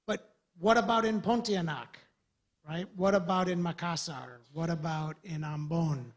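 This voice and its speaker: Husky American official on a panel. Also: male voice, a little high, a little slow. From USA. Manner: earnest, rambling with restarts. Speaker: government development official. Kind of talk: panel discussion remarks.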